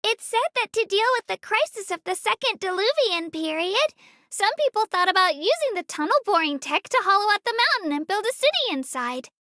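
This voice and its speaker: A woman's voice: very high voice, sing-song, brisk, pushed and bright, no echo, studio quality, heavily acted. A woman voicing a small, cheerful female creature who works as an eager, polite tour guide in a video game, with a cute, girlish voice and childlike earnestness. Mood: earnest, musing. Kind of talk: scripted video game character narration.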